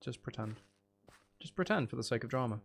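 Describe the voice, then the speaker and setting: A man's voice: medium pitch, a little fast, vocal fry. Man rambling playfully over rain noise. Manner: playful, self-deprecating, rambling thinking aloud. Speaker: game streamer. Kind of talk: casual live gameplay commentary. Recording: steady rain noise behind the voice. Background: rain sounds.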